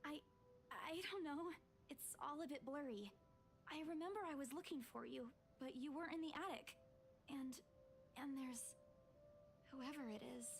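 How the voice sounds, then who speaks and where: High-voiced, stubborn young saleswoman. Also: a little hiss or hum. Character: dazed but stubborn young female real estate agent. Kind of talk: visual novel voice acting.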